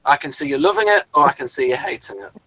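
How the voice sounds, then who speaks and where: A man's voice: fairly high pitch, animated, quick pace, pushed and bright, poor audio. A man hosting a casual, conversational review discussion. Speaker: podcast host.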